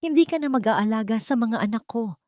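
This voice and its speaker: A woman's voice: fairly high pitch, lively intonation, a little fast, mellow, no echo, studio quality, voice pushed up, natural voice. A woman voicing a stern, unforgiving wealthy father in an audio story, with a hard, commanding manner. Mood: cold, stern.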